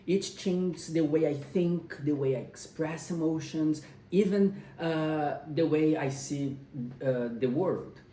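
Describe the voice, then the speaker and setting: A young man whose first language is Portuguese gives a persuasive class speech at home, speaking haltingly with pauses and restarts. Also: fairly high pitch, mellow. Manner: hesitant, with frequent pauses and restarts. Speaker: college student giving a speech. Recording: roomy sound, mic at a distance.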